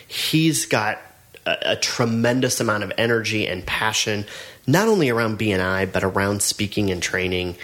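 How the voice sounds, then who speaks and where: A man's voice: fairly low pitch, fairly bright tone. A middle-aged man co-hosting a podcast, talking in an earnest, encouraging way with frequent restarts. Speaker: podcast co-host, business network trainer. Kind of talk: casual podcast chat.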